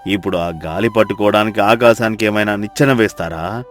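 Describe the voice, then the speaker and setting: A man voicing village characters in a children's story, with a deep, slow, scolding manner. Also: faint music behind. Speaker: narrator voicing male characters.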